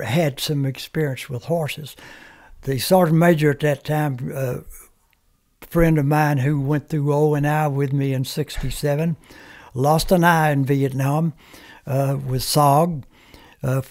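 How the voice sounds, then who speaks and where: An older man, a native Comanche speaker, telling stories in plain, halting talk as a podcast guest in a small studio, close on a standing mic. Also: on the high side, steady tone, a little mellow. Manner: halting, plain-spoken storyteller. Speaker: guest, veteran. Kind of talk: reminiscing interview talk. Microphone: studio mic on stand, headphones.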